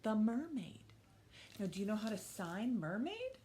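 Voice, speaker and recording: A woman's voice: mid-range voice, wildly swooping pitch, measured pace, warm tone, a little hiss or hum. A woman hosting storytime for young children, talking to them in simple questions and short phrases and reading aloud expressively. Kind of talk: children's storytime, talk and picture-book read-aloud.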